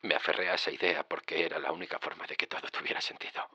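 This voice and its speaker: Guy playing a haunted driver telling a horror story, low gravelly voice, suspenseful pauses, music behind. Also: level tone, sharp tone, no room sound, lo-fi sound, full character voice. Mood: subdued, uneasy. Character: haunted male driver recounting his slow descent into dread. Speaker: narrator and lead character. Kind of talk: dramatic first-person horror audio drama. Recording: dramatic music under the voice.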